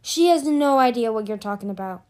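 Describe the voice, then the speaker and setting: A woman's voice: a little high, expressive, a little fast, darkish tone, lightly acted. A woman voicing a young female dragon in a storybook, earnest and reasoning as she explains.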